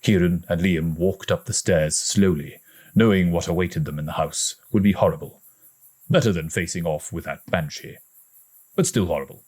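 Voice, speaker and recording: A man reading a story aloud as an audiobook narrator, in a dramatic, expressive storytelling manner. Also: low-pitched, normal speed, slightly rough. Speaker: audiobook reader.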